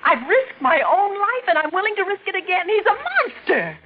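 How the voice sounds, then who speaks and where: A woman's voice: very high voice, very animated, a little fast, slight background noise. A woman playing an anxious but determined wife in a 1940s radio suspense drama, with dramatic intensity. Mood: urgent, pleading. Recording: dull vintage radio recording with music.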